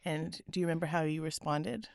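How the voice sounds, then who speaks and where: A woman hosting a podcast, speaking earnestly and gently with a raspy, hoarse voice. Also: low voice, fairly quick, dry recording, studio quality. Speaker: podcast host. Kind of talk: scripted podcast intro and interview.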